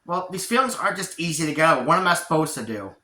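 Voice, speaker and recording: A man's voice: medium pitch, fast talker, sharp tone, close to an everyday voice. A man voicing an awkward, mopey, lovesick cartoon preteen boy in a hesitant, defensive way, with a roomy echo. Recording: roomy, echoey sound.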